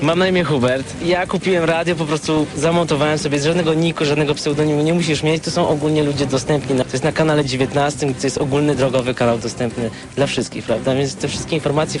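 A man chatting casually in an interview, colloquial and often tagging his sentences with a checking question. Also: fairly high pitch. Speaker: CB radio user, interviewee.

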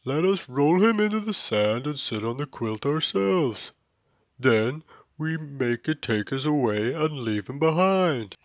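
A man voicing a persuasive, plotting camel in a children's story, with a deliberate, declaiming delivery. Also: fairly high pitch, animated, dry recording. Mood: scheming.

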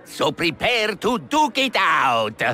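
A man's voice: high-pitched, big pitch swings, takes it slowly, bright, forceful tone, heavily acted. A man voicing a greedy, boastful cartoon villain in a video game, with an exaggerated, bossy, gloating delivery. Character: greedy, boastful, cackling middle-aged male game villain. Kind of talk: animated video game character acting.

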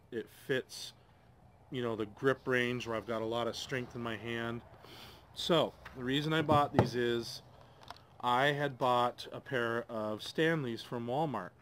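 A man's voice: mid-range voice, fairly bright tone, a little hiss or hum. A man in his forties reviewing tools at an outdoor table, thinking aloud with plenty of hesitations. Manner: rambling, hesitant, thinking aloud with many ums. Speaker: DIY channel host. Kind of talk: casual tool review.

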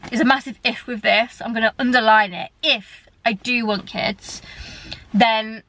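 A woman's voice: on the high side, fairly even intonation, measured pace, sharp tone, rough recording. A candid woman in her early thirties vlogs from the driver's seat of a parked car. She talks in a loose, conversational way, hands moving as she speaks. The camera sits on the dashboard in front of her. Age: 30s. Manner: chatty and candid, gesturing with both hands. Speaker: vlogger. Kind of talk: casual chat to camera.

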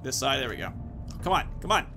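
A man's voice: mid-range voice, sing-song, quick pace, music in the background. A man in his thirties giving casual, informal, rambling commentary as he hosts a video game playthrough. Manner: rambling, informal, swears mildly. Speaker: gaming video host.

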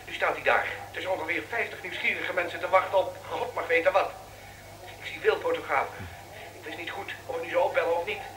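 An actor playing an excitable male radio reporter phoning in urgently in a radio play. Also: high voice, fast talker. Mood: excited, urgent. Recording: roomy, distant phone booth call, faint noise.

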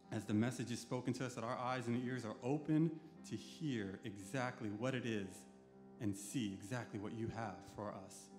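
A man leading worship from a church stage, playing guitar at a stand mic and praying fervently for the congregation. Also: mid-range voice. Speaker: worship leader. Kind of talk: prayer and exhortation. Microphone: stand mic while playing acoustic guitar. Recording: roomy hall with live band.

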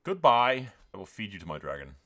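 A man's voice: lowish voice, very animated, fairly quick, a bit bright, slight background noise. A man hosting a gaming video, mixing dramatic role-play storytelling with sweary, casual strategy game commentary, in a theatrical manner.

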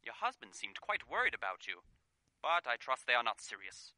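A man playing a fussy, genial and pompous professional gentleman in an old-time radio play. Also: mid-range voice, big pitch swings, a little fast, no echo. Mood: courteous, effusive.

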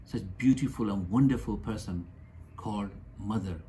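A man's voice: fairly low pitch, normal speed, warm tone, a little hiss or hum. Earnest older man speaking like a preacher. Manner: earnest and preacher-like, with repeated appeals. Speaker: clinic doctor giving a holiday message. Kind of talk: heartfelt scripted address to camera. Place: small office with certificates on the wall.

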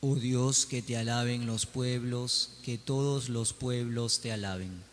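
A man's voice: medium pitch, fairly even intonation, slow, a little hiss or hum. A man leading sung responses in church as cantor, singing along with a guitar. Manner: leads the congregation in song.

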